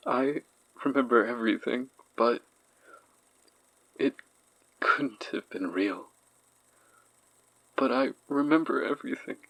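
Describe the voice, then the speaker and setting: An actor playing a haunted man recalling childhood horrors in a horror audio drama, with a husky voice. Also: medium pitch, fairly bright tone, heavily acted, low-quality recording. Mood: shaken, pleading. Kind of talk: dramatic first-person horror narration.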